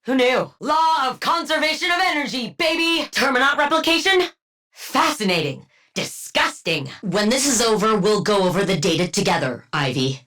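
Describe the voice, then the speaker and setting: A woman playing a brilliant, dry-witted scientist villain-antihero in a video game, speaking in a clinical, deadpan and wryly bookish way. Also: fairly high pitch, moderate pace. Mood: urgent, commanding. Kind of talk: video game combat voice lines.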